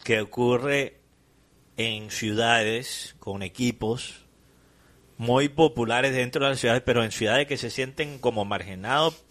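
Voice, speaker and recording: A man's voice: medium pitch, a little slow, a bit bright. A man, explaining things casually as a panelist in a sports discussion.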